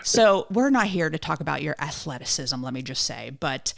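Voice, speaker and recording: A middle-aged woman hosting a podcast with a low, gravelly voice. She chats in a teasing, talkative way with her guest. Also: lively intonation, moderate pace. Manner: teasing, chatty. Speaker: podcast host. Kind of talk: scripted intro then casual interview chat.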